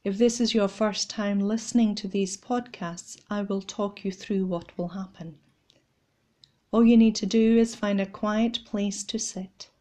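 Slow, mellow woman guiding a meditation. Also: medium pitch, fairly even intonation. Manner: gentle, measured, instructional. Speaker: guided meditation leader.

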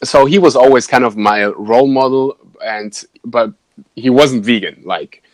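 A man's voice: medium pitch, a little slow, no room sound. A young man from Germany with a German accent, chatting as a podcast guest and fitness coach, hesitant with many fillers and laughs. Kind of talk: casual interview chat.

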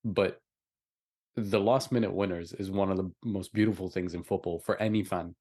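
Young man, lowish voice, casual sports chat, agreeable podcast co-host, repeats for emphasis. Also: fairly even intonation, normal speed, darkish tone, husky.

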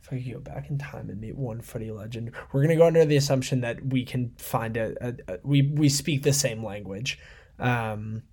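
A man co-hosting a podcast, chatting casually about football and often thinking aloud in informal, halting phrases. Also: medium pitch, a little mellow, slightly rough. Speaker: podcast co-host.

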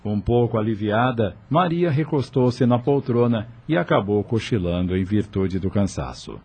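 A man narrating a radio drama in a measured, steady way. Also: on the low side, husky, faint music behind. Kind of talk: scripted narration.

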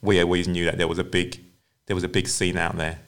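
A man's voice: low voice, flat delivery, fast, fairly bright tone. A male podcast host who reads a scripted introduction, then asks casual questions, often repeating words and saying "fair enough".

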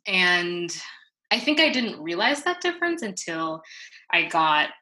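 A woman, an interview guest talking thoughtfully and haltingly in a casual reflective conversation. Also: on the low side, sing-song, pushed and bright. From New York City. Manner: thoughtful, halting, with many fillers and restarts.

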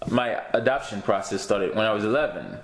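Relaxed young man from Haiti. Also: lowish voice, fairly quick, echoey room, poor audio. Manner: easygoing, laughs often, many fillers. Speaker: guest. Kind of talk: casual interview chat.

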